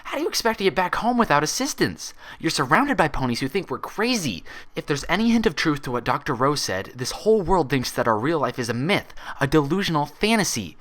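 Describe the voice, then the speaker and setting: A man's voice: fairly high pitch, very animated, brisk, hardly any pauses, fairly bright tone, pitched above the performer's own voice. A man voicing an anguished young female pony in a fan story, scolding in an accusatory, emotional, emphatic way. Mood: furious.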